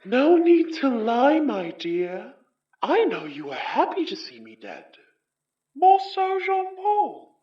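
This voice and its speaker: Theatrical high-voiced villainous spirit. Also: female voice, sing-song, far from the mic, exaggerated voice. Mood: mocking. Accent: British. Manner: pompous, taunting, drawn-out. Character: mocking aristocratic villain spirit, theatrical, arrogant. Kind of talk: horror audio drama. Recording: dull, roomy, off the mic.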